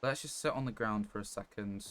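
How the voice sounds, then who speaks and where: A man's voice: fairly low pitch, a little fast, fairly bright tone. A man doing casual live gameplay commentary as a streamer, thinking aloud as he plays and sometimes swearing. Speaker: game streamer. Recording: clear background noise.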